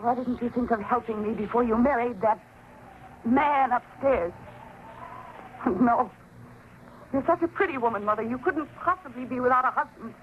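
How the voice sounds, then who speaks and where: An actress playing a bitter, desperate, haunted daughter in a 1940s radio drama, intense and dramatic. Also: female voice, fairly high pitch, normal speed, long pauses, close to an everyday voice. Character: bitter, desperate adult daughter haunted by her father's death. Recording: muffled, noisy 1940s radio recording.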